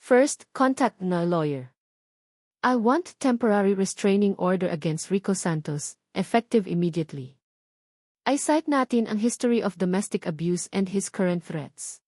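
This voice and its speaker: A woman's voice: medium pitch, animated, moderate pace, a little mellow, no echo, close to an everyday voice. Controlled, authoritative male politician, read by a woman narrator, firm and direct, recorded dry, for audio romance drama. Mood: commanding. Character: calm, steely male politician with quiet authority.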